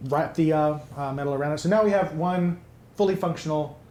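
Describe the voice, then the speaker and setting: A man with a slightly high voice chats casually as a player in a tabletop game. His voice comes through with a clear roomy echo. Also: fairly quick, darkish tone. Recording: roomy, echoing sound.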